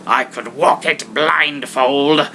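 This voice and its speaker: Fearful, humbled old miser, played by a man: raised pitch, slow emphatic pleading, storybook reading with noisy background. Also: creaky, bright, forceful tone, exaggerated voice. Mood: awed, fervent. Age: older.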